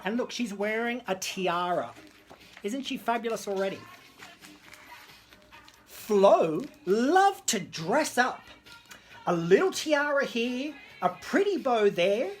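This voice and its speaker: A woman hosting children's story time, reading a picture book to camera in a theatrical, camp, exclamatory way. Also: fairly high pitch. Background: disco music.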